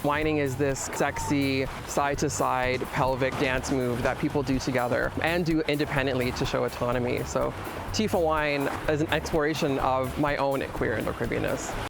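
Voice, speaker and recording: A man's voice: mid-range voice, steady tone, normal speed, gravelly. A man speaking reflectively as a documentary interviewee, explaining his personal experience. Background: music.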